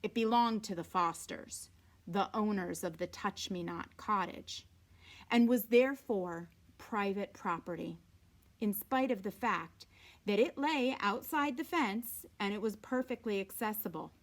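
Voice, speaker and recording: A woman reading a storybook aloud with deliberate, dramatic phrasing and informal asides, sometimes dropping to a hush. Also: mid-range voice. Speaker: read-aloud reader.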